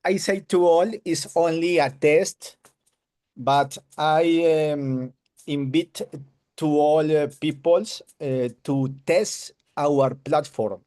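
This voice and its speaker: A man from Colombia whose first language is Spanish, with a Colombian Spanish accent, giving a halting testimonial in broken English over a video call. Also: fairly high pitch, darkish tone. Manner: hesitant broken English, searching for words. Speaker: webinar attendee giving a testimonial.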